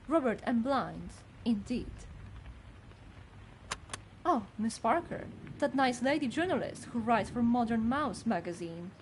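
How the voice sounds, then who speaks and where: A woman's voice: fairly high pitch, darkish tone, a little hiss or hum, lightly acted, rough recording. A woman playing a gossipy, judgmental older housekeeper in a video game, with a fussy, haughty manner. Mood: scornful, gossipy, then prim, approving. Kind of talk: scripted game character dialogue.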